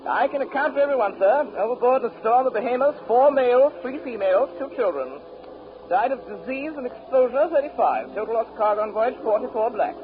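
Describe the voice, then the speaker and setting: High-voiced, brisk sea captain. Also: male voice, expressive. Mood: businesslike. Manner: clipped, matter-of-fact reporting. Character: brisk, hard slave-ship captain, callously businesslike. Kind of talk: 1930s radio drama acting. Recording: very muffled, noisy 1930s radio recording.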